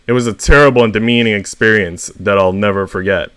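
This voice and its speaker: A man's voice: fairly low pitch, fairly even intonation, fast, a bit bright, clean tone, pitched below the performer's own voice, natural voice. Talking magazine for a comic storybook, played by a man: shaken, wounded, indignant. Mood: affronted. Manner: aggrieved, wounded.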